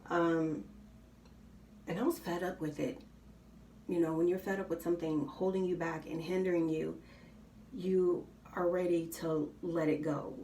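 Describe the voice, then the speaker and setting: A soft-spoken woman chatting casually to her viewers while getting ready, rambling with many ums and you knows. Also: lowish voice, steady tone, measured pace, long pauses, a little hiss or hum. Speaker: vlogger. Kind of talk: casual chat while doing makeup. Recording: roomy, slightly distant home recording.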